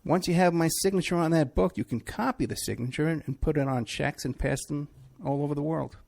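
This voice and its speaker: A man's voice: a little high, fairly quick, gravelly, a little mellow. A man from New City, hosting a talk radio show in a rambling, sarcastic monologue. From New York City. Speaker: radio show host, private investigator.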